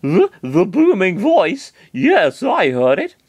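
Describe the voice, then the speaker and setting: A man's voice: high-pitched, wildly swooping pitch, slow, breathy edge, no echo, pitched above the performer's own voice, heavily acted. A man voicing a nervous young man, stammering and hesitant. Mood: anxious.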